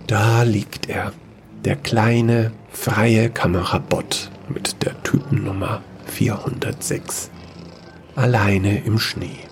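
Slow, low, gravelly male storyteller. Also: steady tone, faint music behind. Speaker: narrator. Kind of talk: scripted narration of a sci-fi fairy-tale audio drama.

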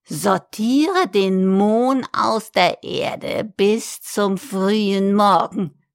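A man voicing a cruel, greedy old witch with a shrill, cackling voice. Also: extremely slow, creaky, smooth, no echo, clean studio sound, exaggerated voice. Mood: menacing.

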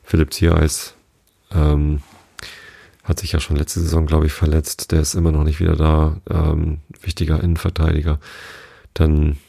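A hoarse man hosting a sleep podcast, talking casually and meandering with many fillers and restarts. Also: extremely low pitch, monotone, normal speed, mellow, dry recording. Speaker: podcast host.

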